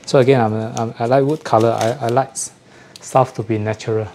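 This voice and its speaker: A man giving a casual unboxing chat as a host, often pausing to rephrase himself. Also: medium pitch, fairly even intonation, a little mellow, slight background noise. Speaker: host of an audio gear channel.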